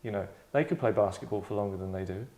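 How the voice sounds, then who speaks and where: A man's voice: lowish voice, fast talker, darkish tone. A man hosting a table read, with a wry, rambling way of talking and stage-direction narration. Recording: echoey room sound.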